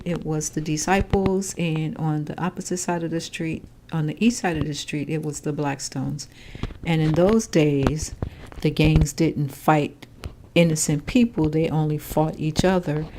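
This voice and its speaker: Low-voiced older woman recalling her childhood. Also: background noise. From Chicago, Illinois. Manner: calm, matter-of-fact storytelling. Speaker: interviewee, grandmother. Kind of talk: oral history interview.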